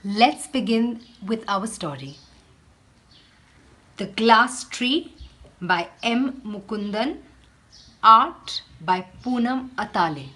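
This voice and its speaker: A woman teacher reading a children's story aloud in a deliberate, instructional way, with expressive storytelling phrasing. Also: on the high side.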